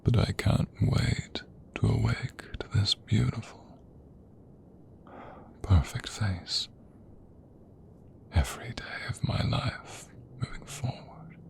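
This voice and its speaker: A man playing a tender, devoted king in a romantic ASMR audio roleplay, speaking intimately with a soft, breathy voice. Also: on the low side. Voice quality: breathy, soft, often whispered. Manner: slow, intimate, emotive delivery. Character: tender, devoted young king in love with his queen.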